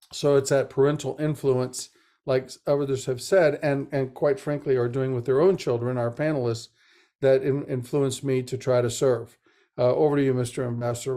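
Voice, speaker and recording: An older American man, sixty or above, giving semi-formal remarks as a panel moderator in a measured, deliberate way. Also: mid-range voice, dark tone. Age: 60s or older. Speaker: moderator, retired army general. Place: video call webinar.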